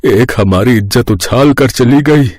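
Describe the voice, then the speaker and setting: A man's voice: medium pitch, unhurried, darkish tone, no echo, studio quality, close to an everyday voice. A man voicing an earnest, middle-aged village father, solemn and protective, in a cartoon moral story. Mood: solemn, moved.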